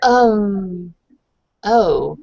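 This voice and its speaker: Woman playing a shy woman, hesitant, halting, embarrassed, tabletop roleplay, dry muffled sound. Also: mid-range voice, animated, creaky, warm tone, no echo, exaggerated voice. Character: shy, embarrassed woman saying goodbye to friends.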